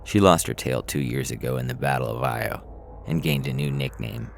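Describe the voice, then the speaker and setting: A man narrating scripted fiction in a measured, dramatic storytelling manner. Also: extremely low pitch, creaky, a little hiss or hum. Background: music.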